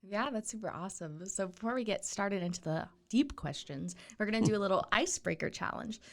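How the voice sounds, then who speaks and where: A woman's voice: mid-range voice, lively intonation, fairly quick. A woman hosting a local podcast, chatting casually with her guest and laughing often. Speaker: podcast host. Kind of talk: casual interview chat.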